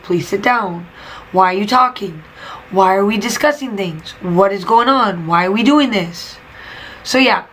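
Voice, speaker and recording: A woman's voice: mid-range voice, a bit bright, a little hiss or hum, rough recording. A woman, a teacher who runs a craft channel, chatting and venting in a casual voiceover while she is losing her voice. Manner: chatty, venting, emphatic repetitions. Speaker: teacher and scrapbooking channel host. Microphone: voiceover mic.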